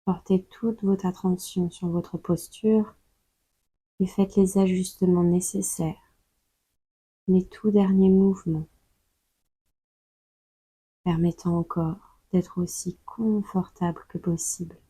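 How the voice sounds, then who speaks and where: A woman guides a meditation in a lowish, husky voice. She takes her time, calmly giving soothing instructions and leaving long pauses. The recording is dry and close. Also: no room sound, low-quality recording. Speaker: yoga nidra guide. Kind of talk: slow guided meditation narration.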